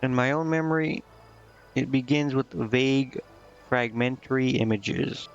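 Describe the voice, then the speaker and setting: A man voicing an earnest, naive female succubus from a video game, musing and halting as he reads her lines. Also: medium pitch, level tone, clean tone, dull audio, faint music behind, lightly acted. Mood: halting, reflective. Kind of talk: reading video game dialogue aloud.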